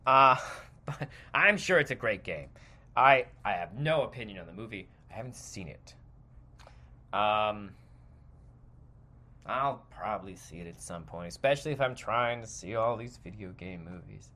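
A man's voice: fairly low pitch, lively intonation, normal speed, sharp tone. A man hosting a podcast, delivering a casual, opinionated rant in a rambling, digressive and emphatic way. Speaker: podcast host.

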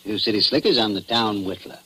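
An actor playing a wry, dogged small-town police chief in a 1940s radio drama, folksy and full of probing questions. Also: male voice, on the low side, fairly quick, pushed and bright, poor audio. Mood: brisk, businesslike. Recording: hissy old radio recording.

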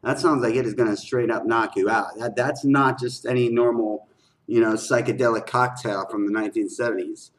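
A man's voice: mid-range voice, steady tone, fairly quick. Man reacting to music, repeating himself. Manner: repeats phrases emphatically, slangy. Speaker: reaction video host. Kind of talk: casual music reaction commentary.

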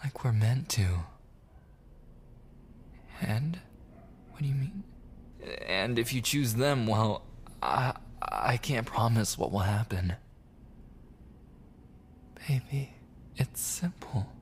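Guy doing a jealous obsessive boyfriend asmr roleplay, really slow soft pleading w whispers, kinda menacing, noisy background. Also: medium pitch, animated. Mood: coaxing, controlling, then desperate, menacing. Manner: pleading, halting and repetitive, slipping into breathy whispers.

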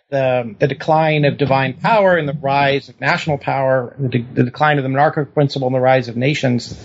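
A man's voice: mid-range voice, gravelly. A man answering interview questions about history at length, lecturing and thinking aloud with restarts. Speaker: historian guest. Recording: dull lo-fi recording.

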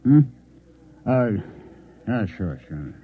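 An actor playing a composed, secretive Martian posing as a newspaperman in a 1950s radio drama, speaking in a measured, condescending way. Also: fairly low pitch, very animated, slight background noise, full character voice. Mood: shaken, wry. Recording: muffled, narrow-band old radio recording.

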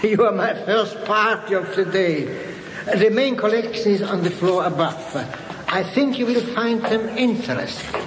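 An actor playing an eager castle guide, speaking halting, formal, ingratiating English in a radio drama. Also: male voice, high-pitched, background noise, natural voice. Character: eager foreign torture-museum guide in a German castle. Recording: echoey stone-chamber reverb, murky background sound.

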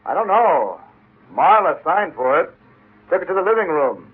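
Casting: offhand housemate, male, husky and animated, vintage radio drama on narrow lo-fi recording. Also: high voice, normal speed, heavily acted. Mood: casual, offhand. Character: casual, evasive man of the household. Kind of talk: 1940s-50s radio drama acting. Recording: tinny old radio recording.

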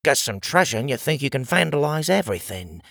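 A man's voice: mid-range voice, very animated, fairly quick, vocal fry, a bit bright, dry recording, clean studio sound, pitched above the performer's own voice, exaggerated voice. A man voicing a grumbling, disgruntled crab-like creature in a storybook.

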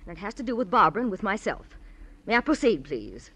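An actress playing a genteel, self-absorbed middle-aged society woman in a radio drama, with mannered, deliberate phrasing. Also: medium pitch, sing-song, fairly bright tone, breathy edge, heavily acted. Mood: insistent, self-justifying. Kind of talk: old-time radio drama acting.

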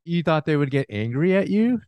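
Male podcast host, smooth low voice, dry close mic, reacts a lot, quick interjections. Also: very animated, no echo. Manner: warm and reactive, laughs along, short interjections. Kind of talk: casual interview conversation.

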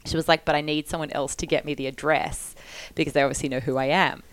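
An Australian woman co-hosting a podcast, telling a story in animated, chatty bursts with plenty of laughing. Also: low-pitched, fast talker, dry recording. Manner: animated, laughing, full of "like" fillers. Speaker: podcast co-host. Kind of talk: casual storytelling.

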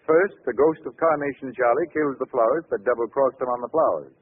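An actor playing a pushy, cynical newspaper reporter, brash and needling, in 1940s radio style. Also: male voice, mid-range voice, fairly quick, close to an everyday voice. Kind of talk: 1940s radio crime drama acting. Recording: noisy, narrow-band old radio broadcast.

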